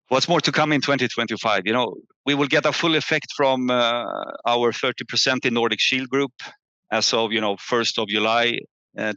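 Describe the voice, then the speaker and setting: A man presenting company results as an executive on a call, halting and often repeating words as he searches for phrasing. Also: fairly low pitch, gravelly, sharp tone. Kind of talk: earnings call presentation and answers. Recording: muffled, echoey room on online call.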